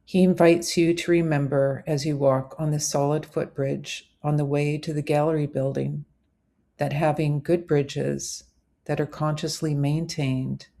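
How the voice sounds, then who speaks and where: A woman narrating a scripted guided visualization as a meditation host, in measured, deliberate phrases. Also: sits low, vocal fry, dark tone.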